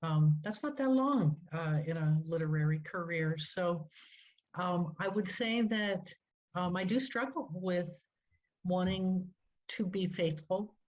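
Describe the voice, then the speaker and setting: An older woman, a poet and translator, speaking hesitantly with many pauses on a video call through a headset. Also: on the low side, darkish tone. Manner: hesitant, many fillers, thoughtful. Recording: muffled lo-fi call audio.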